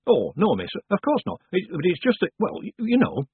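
A high, emphatic village woman as a male narrator plays her in a fantasy storybook, chatty and quick to scold. The sound is narrow and phone-like. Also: sing-song, breathy edge, no room sound, exaggerated voice. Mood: flustered. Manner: chatty, emphatic, deferential to the witch. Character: scolding, gossipy village woman, flustered before the witch.

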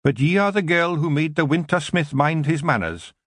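Man playing a small fierce fairy clan mother, Scottish accent, blunt, high and loud. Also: expressive, normal speed, no echo, clean studio sound. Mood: gruff. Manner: blunt, gruff, dialect-heavy. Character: tiny fierce female fairy clan matriarch.

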